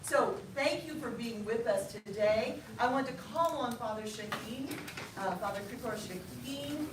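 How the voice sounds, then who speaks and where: A woman speaks to a gathered group in a measured, formal manner, her voice a little high. She is picked up from across an echoey meeting room, with steady noise underneath. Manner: measured, formal welcoming speech. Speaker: interfaith alliance director. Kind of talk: addressing a gathering. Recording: echoey room from a distance, noisy.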